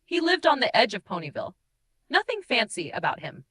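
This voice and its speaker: Woman acting a heartbroken changeling, high and expressive. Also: heavily acted. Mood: loving, sorrowful. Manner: emotive, mournful storytelling with dramatic pauses. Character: heartbroken shapeshifting changeling woman, guilt-ridden, tender, wistful confessor. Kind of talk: dramatic first-person audiobook narration.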